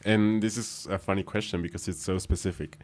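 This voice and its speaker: Low-voiced man, brief and low-key. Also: steady tone, brisk, a little mellow. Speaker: co-host reading scenarios. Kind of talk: casual panel chat.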